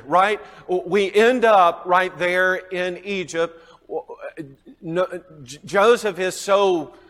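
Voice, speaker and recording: A man's voice: sits high, fairly bright tone, big-room sound. A man with a Southern US accent teaching a Bible class, chuckling often and quizzing his students.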